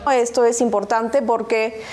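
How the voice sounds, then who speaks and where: A woman's voice: a little high, steady tone, unhurried, a little mellow. A woman from Peru, a cultural coordinator, speaking conversationally in an interview soundbite with fillers and tag questions.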